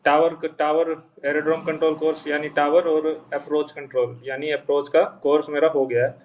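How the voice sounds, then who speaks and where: A young man giving an informal, halting explanation as an interview guest, rephrasing step by step. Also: a little high, little pitch movement, dull audio. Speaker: interview guest, junior air traffic controller. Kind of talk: informal explanation in Hindi mixed with English terms. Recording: voice distant from the microphone.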